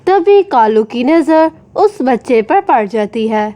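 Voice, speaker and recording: A woman's voice: high voice, very animated, slow, dark tone, dry recording. A woman narrating a scripted children's story.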